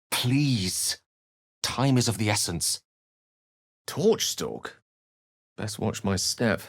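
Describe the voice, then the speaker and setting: A man playing an eloquent, courteous wizard in a video game, articulate and literary in his measured delivery. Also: sits low, fairly bright tone, dry recording, clean studio sound. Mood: wry, courteous. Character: eloquent, courteous, bookish male human wizard, a wry adventurer. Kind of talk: video game character lines and narration.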